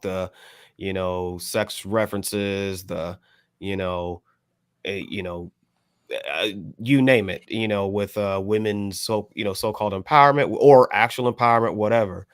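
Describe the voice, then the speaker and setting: Low-voiced American man on a podcast. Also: clean tone, dry recording, studio quality. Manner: rambling, hedging with repeated "you know". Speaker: podcast panelist. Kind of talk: casual album commentary.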